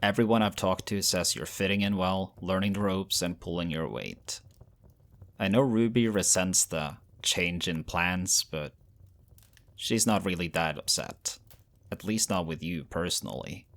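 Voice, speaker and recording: A man performs a weary young shifter leader in an audio drama. His voice is low, and he speaks with measured, earnest care, like a protective brother reassuring his own. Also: fairly bright tone.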